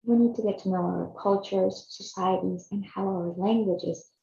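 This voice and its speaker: A woman's voice: mid-range voice, steady tone, moderate pace, vocal fry, mellow. A woman in her fifties from Chile, earnestly narrating a personal video series she hosts. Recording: echoey, slightly dull room sound.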